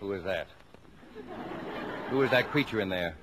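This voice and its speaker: A strict, blustering family father, with very animated, emphatic delivery and a sarcastic edge. It is old-fashioned radio acting on a noisy vintage broadcast. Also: sits low, normal speed, some chatter behind. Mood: outraged.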